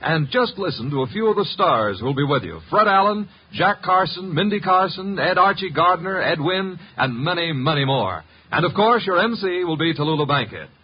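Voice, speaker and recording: A man's voice: a little high, sing-song, normal speed, hardly any pauses, breathy edge. A man announcing and narrating in 1950s radio style, polished and theatrical. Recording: muffled old radio broadcast. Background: music.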